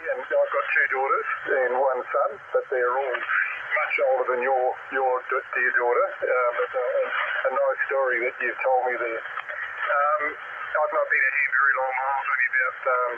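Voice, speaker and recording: A man, chatting courteously as a ham radio operator over a radio transmission, with frequent pauses. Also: mid-range voice, phone-quality sound. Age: 60s. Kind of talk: casual radio contact chat. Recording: very noisy band-limited shortwave radio signal.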